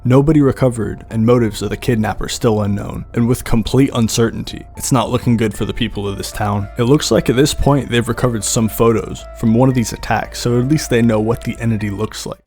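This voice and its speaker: A man's voice: mid-range voice, darkish tone. A man narrates as a video host, with music playing behind him. He tells it like a story and slips in casual, conversational asides as he comments on horror footage. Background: background music.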